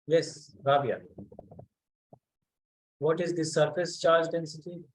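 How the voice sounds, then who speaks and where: A man teaching a physics class online, speaking haltingly and calling on students by name to check that they follow. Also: a little high, steady tone, a little hiss or hum, rough recording.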